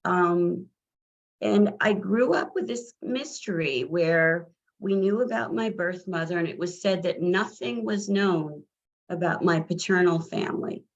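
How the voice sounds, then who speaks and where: A middle-aged woman from New Jersey with a scratchy, tired voice, telling her own adoption story slowly, with many fillers and restarts. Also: lowish voice. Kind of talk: personal account. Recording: dull lo-fi audio.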